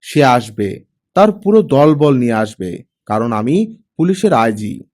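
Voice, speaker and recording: A man voicing a proud, irritable older retired police chief and father in an audiobook drama, gruff and authoritative. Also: medium pitch, big pitch swings, measured pace, a little mellow. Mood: irritated, proud.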